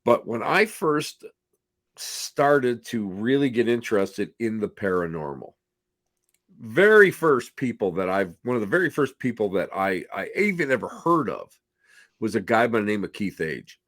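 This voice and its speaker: Slightly hoarse man hosting casually. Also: lowish voice, big pitch swings, moderate pace, fairly bright tone, dry recording, studio quality. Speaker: host of a paranormal talk show. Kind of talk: casual rambling show introduction.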